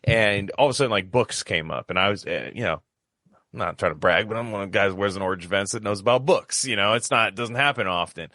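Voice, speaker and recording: A man with a deep but forceful, bright voice talks casually on a podcast. He rambles through his story in loose slang, doubling back on his words, recorded close and dry. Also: quick pace, no echo. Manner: rambling, slangy, repeats himself.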